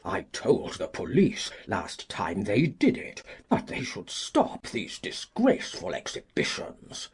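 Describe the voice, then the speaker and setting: A very raspy, breathy old squire in a classic drama. He is pompous, litigious and quick to indignation, drawling slowly and swooping theatrically across his range. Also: deep voice, exaggerated voice. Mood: indignant. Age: older. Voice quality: husky, creaky old voice. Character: cantankerous, self-righteous, litigious old country gentleman. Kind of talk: dramatised classic audiobook acting.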